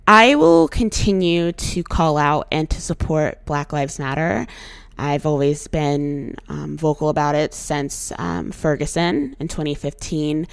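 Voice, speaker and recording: A young woman hosting her solo podcast, her voice a little hoarse, talking earnestly and outspokenly with animated emphasis. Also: low voice, no room sound. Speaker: podcast host.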